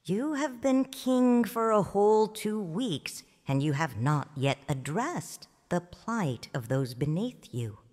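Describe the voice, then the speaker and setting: Woman reading a story, deep-ish voice, slow n reflective, dry mic. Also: very animated, darkish tone, dry recording. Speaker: narrator. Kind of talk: audiobook narration of a story in first person.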